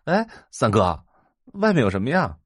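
An actor playing a curious young sidekick in an audio drama, eager and a little whiny. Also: male voice, mid-range voice, huge pitch swings, normal speed, slightly rough, no echo, clean studio sound.

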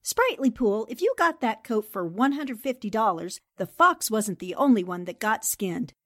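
An actress playing a smart, wisecracking young newspaperwoman in 1940s-style radio drama, very expressive. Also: on the high side, moderate pace, no room sound, clean studio sound. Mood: incredulous.

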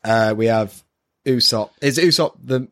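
A man's voice: mid-range voice, a little slow, fairly bright tone. A man giving his casual impressions as a co-host, hesitant and searching for words, recorded on a built-in microphone. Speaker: podcast co-host, first-time manga reader. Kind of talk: casual review chat.

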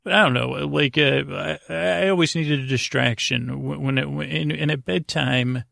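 A middle-aged man hosting a podcast as a rambling bedtime monologue, halting and drifting into digressions as he interrupts himself. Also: fairly high pitch, creaky, pushed and bright, no room sound, clean studio sound. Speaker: podcast host.

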